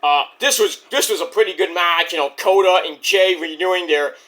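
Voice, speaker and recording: A man with a high, bright voice talks loosely through a wrestling recap. He rambles, swears freely and jumps into excited bursts. A sore throat is wearing his voice down. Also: normal speed. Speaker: wrestling show reviewer. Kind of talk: casual spoken review.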